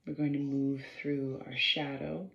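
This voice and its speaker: A woman leading a guided meditation in a measured, halting way, pausing between short phrases and often clearing her throat. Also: extremely low pitch, little pitch movement, fairly bright tone. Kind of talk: slow guided meditation instruction. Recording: roomy, slightly dull sound.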